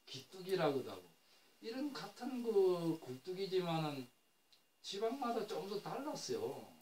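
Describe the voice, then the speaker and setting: An older man giving a classroom lecture on traditional floor heating, rambling and correcting himself, with many fillers as he explains. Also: medium pitch. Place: lecture room. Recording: echoey room, recorded from a distance with faint noise.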